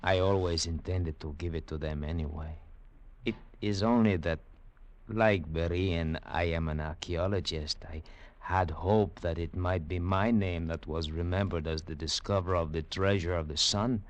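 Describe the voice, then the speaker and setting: Actor as a deep, courtly Latin gentleman. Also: fairly even intonation, moderate pace, lightly acted. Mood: wistful. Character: courteous, proud Latin American gentleman archaeologist, formal. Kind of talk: 1940s radio drama acting.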